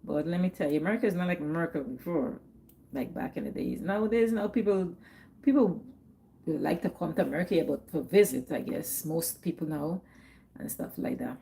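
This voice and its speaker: Gravelly Guyanese woman chatting casually. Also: on the low side, animated, fairly quick, warm tone, a little hiss or hum, low-quality recording. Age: middle-aged. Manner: chatty, repeats herself, laughs often. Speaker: livestream host. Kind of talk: casual livestream chat.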